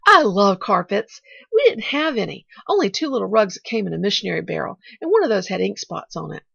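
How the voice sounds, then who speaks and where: A woman's voice: mid-range voice, big pitch swings, quick pace, creaky, slightly rough, no room sound, dull audio, exaggerated voice. A woman voicing an eager, bravely glad orphan girl in an audiobook, rambling breathlessly and correcting herself. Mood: chatty, eager. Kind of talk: audiobook character voice.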